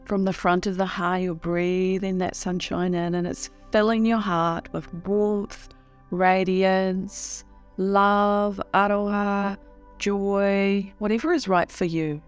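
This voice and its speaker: A woman leading a guided meditation in a soothing, unhurried way with long pauses. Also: medium pitch, fairly even intonation, smooth, faint music behind.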